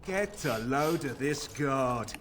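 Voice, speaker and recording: An actor voicing a gruff, swaggering male mercenary in a video game. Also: mid-range voice, expressive, takes it slowly, noisy background. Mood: menacing, mocking.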